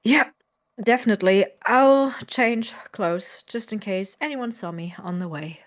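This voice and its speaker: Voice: medium pitch, a little slow, natural voice. Wary, earnest young roommate played by a woman. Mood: sheepish, agreeable. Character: anxious, suspicious young roommate Christopher, grave-robbing lab partner. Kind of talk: naturalistic audio drama acting.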